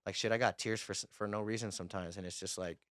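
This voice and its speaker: A man's voice: fairly low pitch, fairly even intonation, fast talker, fairly bright tone, no room sound, studio quality. A man hosting a podcast interview, chatting in a laid-back way with casual slang. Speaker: podcast host.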